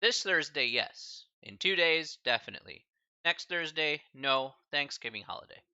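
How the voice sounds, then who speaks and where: Chatty math instructor, bright dry voice. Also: male voice, on the high side, lively intonation, moderate pace, smooth, no echo, highs cut off. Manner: casual, chatty, filler-heavy with slang asides. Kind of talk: live-streamed class lecture.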